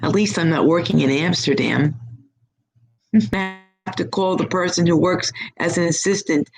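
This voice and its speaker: A hoarse, husky middle-aged woman hosting a live stream as a psychic reader, chatting in a rambling, upbeat way from her home. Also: on the low side, moderate pace, faint music behind, poor audio. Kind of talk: casual live-chat monologue.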